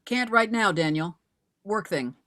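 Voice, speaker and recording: Bright, animated woman playing a stubborn widow sleuth. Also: medium pitch, unhurried. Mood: determined. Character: stubborn widowed mother turned amateur investigator. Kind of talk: screenplay table read.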